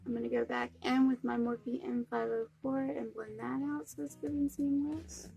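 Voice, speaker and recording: A woman's voice: a little high, fairly even intonation, moderate pace, vocal fry, warm tone, faint music behind, low-quality recording. A young woman with a cold and a stuffy nose, chatting through a makeup tutorial step by step. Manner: chatty, friendly step-by-step explaining. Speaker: beauty vlogger.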